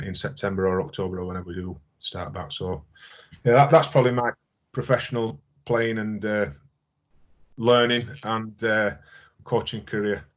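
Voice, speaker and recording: A man's voice: fairly low pitch, fairly bright tone. A man, a rugby coach, recounting his career plainly with some restarts on a video call. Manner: matter-of-fact, halting with restarts. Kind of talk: casual video call interview. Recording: dull lo-fi call audio.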